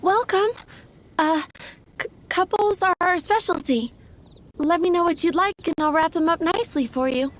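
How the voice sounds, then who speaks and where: A woman's voice: very high voice, normal speed, slight background noise. A woman voicing a sweet, timid young female flower seller in an anime game, polite, earnest and a little hesitant. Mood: polite, cheerful. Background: faint music.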